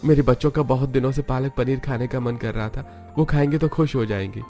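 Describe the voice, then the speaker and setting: A humble working father voiced by a man in a cartoon tale. He sounds earnest, weary and downcast at a middle pitch, with music playing behind. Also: steady tone, fairly quick, dark tone, natural voice. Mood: humble pleading.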